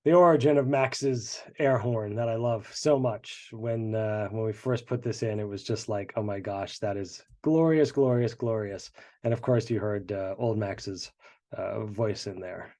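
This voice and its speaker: A man's voice: lowish voice, a little slow, dark tone, a little hiss or hum. A congested man, the show's creator, chatting through behind-the-scenes commentary with many fillers. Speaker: show creator.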